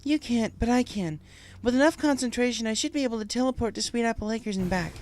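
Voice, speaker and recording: A woman's voice: on the high side, fast talker, a little mellow, lightly acted. A woman voicing a determined young heroine pony, urgent and earnest, in a dramatic story reading. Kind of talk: dramatic fan fiction audio reading.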